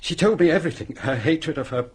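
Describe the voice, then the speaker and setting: Rich gent in a radio play, anguished, kinda husky, really emphatic. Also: male voice, a little high, animated, fast talker. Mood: anguished, confiding. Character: anguished, wealthy English gentleman haunted by loss.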